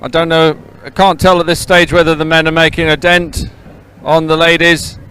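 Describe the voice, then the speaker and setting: A man giving live, unscripted sports commentary outdoors, halting often to repeat and correct himself. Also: a little high, flat delivery, bright, forceful tone, slight background noise. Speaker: race commentator. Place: outdoors beside a race course.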